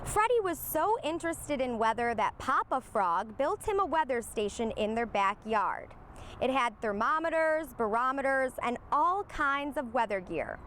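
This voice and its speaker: A woman reading a children's storybook aloud in an expressive storytelling manner. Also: high-pitched, moderate pace, a little hiss or hum. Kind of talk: scripted storybook narration for young children.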